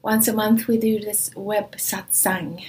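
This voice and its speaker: Slow, soft-spoken Swedish woman. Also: mid-range voice, steady tone, a little hiss or hum, poor audio. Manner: slow, soft, often near a whisper. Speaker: spiritual teacher. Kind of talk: spiritual talk. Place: traveling, away from her studio.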